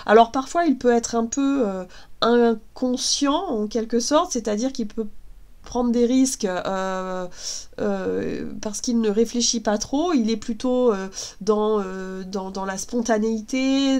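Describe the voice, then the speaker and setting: A woman with a congested head cold gives a casual tarot reading, gentle and reassuring, hesitating often with fillers and restarts. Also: fairly high pitch. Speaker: tarot card reader.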